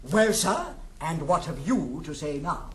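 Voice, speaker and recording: An actor playing a blunt, sardonic old uncle in a 1960s recording of a classical stage comedy, with a British accent and declamatory delivery. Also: male voice, fairly high pitch, sing-song, unhurried. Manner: declamatory, theatrical. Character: blunt wealthy old uncle, male, sardonic yet generous. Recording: 1960s studio record, faint hiss.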